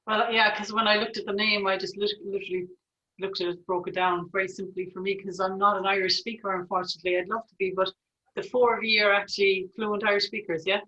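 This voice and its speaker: A woman's voice: mid-range voice, flat delivery, fast talker, pushed and bright. A woman interviewing a band over a video call, effusive and generous with praise. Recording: dull lo-fi video call audio.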